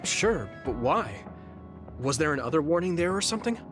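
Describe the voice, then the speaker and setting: A man in an English game dub voicing a kind, earnest young psychic soldier who speaks gently and casually, over music. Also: fairly high pitch, big pitch swings, normal speed. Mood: concerned, curious. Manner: gentle, sincere, casual phrasing. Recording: music behind the voice.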